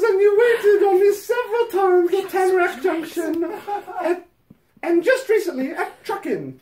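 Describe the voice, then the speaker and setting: Woman putting on an overwrought, high-pitched melodramatic letter-writer. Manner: theatrical, overwrought. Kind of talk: mock-dramatic reading of a letter. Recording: echoey room.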